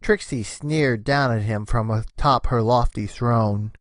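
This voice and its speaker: A woman giving an expressive, scripted narration of a story. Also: rumbling low, takes it slowly, no room sound, studio quality.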